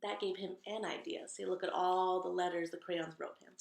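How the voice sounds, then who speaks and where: A woman reads a picture book aloud in an echoey room. Her voice has a medium pitch with a noticeable creak. She is expressive and breaks off to chat directly with young listeners. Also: normal speed. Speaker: read-aloud storyteller. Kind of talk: animated children's picture-book read-aloud with chatty asides.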